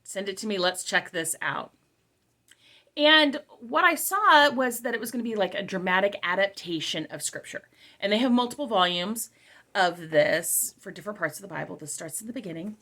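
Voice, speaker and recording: A woman's voice: medium pitch, fairly bright tone. A middle-aged woman giving a casual, conversational product review, repeating key phrases for emphasis.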